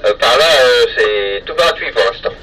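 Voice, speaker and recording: A middle-aged man with an accent from France, chatting casually and haltingly over a two-way radio. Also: medium pitch, lively intonation, sharp tone, soft background music. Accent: French, from France. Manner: hesitant, halting. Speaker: amateur radio hobbyist. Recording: distant, very noisy FM radio contact.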